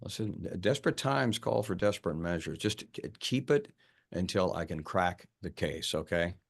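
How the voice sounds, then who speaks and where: A driven male detective in a film drama, acted out by a man at a table read. His voice sits low. He stumbles and repeats himself, rattled and fixated on the case. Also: animated, creaky, full character voice. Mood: urgent, reassuring. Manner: halting, stammering, repeats words. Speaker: actor at a script table read.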